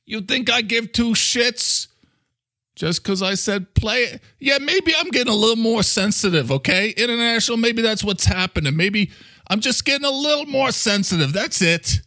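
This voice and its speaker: A man's voice: very high-pitched, animated, normal speed, sharp tone, no echo, clean studio sound, voice pushed up, full character voice. A man voicing a touchy, foul-mouthed talking coffee maker with a New York accent, blustery and defensive. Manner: blustery, defensive, swearing, badgering with questions.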